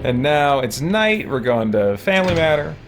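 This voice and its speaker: A man's voice: a little high, very animated, normal speed, noisy background. A man giving casual, rambling gameplay commentary, thinking aloud as he streams a role-playing game. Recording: game music behind the voice. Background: video game music.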